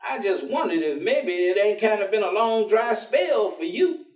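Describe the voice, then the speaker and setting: A warm American ex-con preacher on stage, folksy and slangy. His high voice swings widely as he teases and spins stories. He is heard from a distance in an echoey theatre. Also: sing-song, normal speed. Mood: gentle, probing. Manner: folksy slangy drawling storyteller, teasing, chuckling. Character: warm, streetwise ex-convict man turned preacher, stubbornly cheerful.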